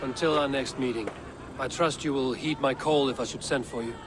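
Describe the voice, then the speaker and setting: Man acting a stern Viking raider, mid-pitched. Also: steady tone, fairly quick, natural voice. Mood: solemn. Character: stern, resolute male Viking raider, fearless clan leader. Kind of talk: dramatic video game cutscene acting. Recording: clear background noise.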